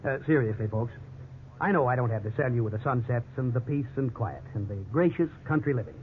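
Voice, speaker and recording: An actor playing an upbeat, evasive real estate salesman in a 1950s radio drama, with smooth, ingratiating sales patter. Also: mid-range voice, fast, close to an everyday voice. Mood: salesy, upbeat. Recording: tinny, hissy 1950s radio recording.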